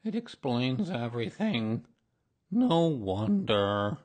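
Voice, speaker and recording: A gloomy storybook donkey, acted out by a man, speaking very slowly in a husky voice. He is self-pitying and resigned, and drags out each phrase mournfully. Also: medium pitch, big pitch swings, heavily acted. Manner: mournful, drawn-out, resigned delivery. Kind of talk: children's storybook character reading.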